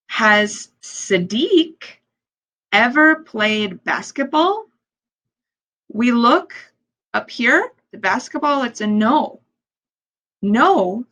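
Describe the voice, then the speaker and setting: A woman who is a native English speaker, teaching language learners step by step in a deliberate way, repeating key phrases and posing questions. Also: on the high side, very animated, bright, forceful tone, low-quality recording. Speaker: ESL teacher.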